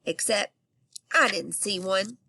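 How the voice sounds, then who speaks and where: A woman's voice: medium pitch, takes it slowly, gravelly, sharp tone, slightly rough. A woman teacher reading a children's book aloud expressively and asking her young listeners questions.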